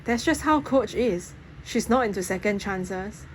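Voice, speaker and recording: Earnest, worried teen girl skipper played by a woman reader, emphatic audiobook dialogue, clear background noise. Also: mid-range voice, expressive, measured pace, close to an everyday voice, poor audio. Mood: resigned.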